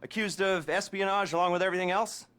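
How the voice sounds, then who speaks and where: A man's voice: sits high, fairly even intonation, fast talker, a bit bright, clean tone. An actor voicing a nervous, rambling middle-aged criminal planner in a video game, wry and hedging as he briefs a crew. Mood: wry, disdainful.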